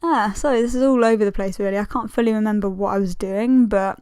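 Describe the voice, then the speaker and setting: A woman's voice: medium pitch, fairly even intonation, fast, darkish tone, smooth, dry recording. A woman in her twenties with a slightly strained voice, giving a chatty, self-deprecating, rambling commentary as a casual video host. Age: 20s. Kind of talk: casual sketchbook tour commentary.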